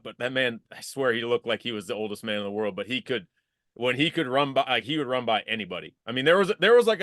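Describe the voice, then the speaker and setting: Animated man in his forties, dry sound. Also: medium pitch, dry recording. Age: 40s. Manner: animated, emphatic, swears when surprised. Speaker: guest, former football player. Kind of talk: casual reminiscing chat.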